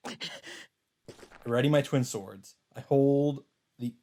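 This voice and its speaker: A man's voice: medium pitch, slow, warm tone. A man reading the narration of a visual novel aloud as a let's play host. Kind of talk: story narration read aloud. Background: faint game music.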